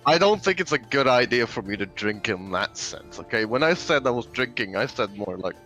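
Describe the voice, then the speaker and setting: A man's voice: medium pitch, expressive, brisk, sharp tone, faint music behind. A man joining a livestream as a guest, chatting playfully, laughing and insisting on his points. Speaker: stream guest. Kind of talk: casual livestream chat.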